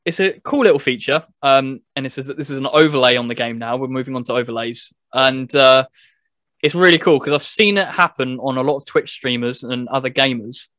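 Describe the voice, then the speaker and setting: A man's voice: mid-range voice, brisk, fairly bright tone, dry recording. Man casually explaining things, dry sound. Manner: explanatory, step-by-step. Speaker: podcast co-host reviewing a video game. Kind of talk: casual chat.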